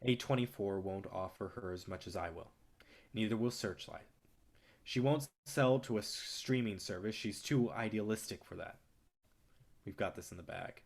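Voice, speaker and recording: A man's voice: on the low side, normal speed, gravelly. A man acting in a staged play reading as a brash, cocky film distributor, swaggering and persuasive with plenty of profanity. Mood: smug. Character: brash, cocky, foul-mouthed male film distributor, smooth manipulator.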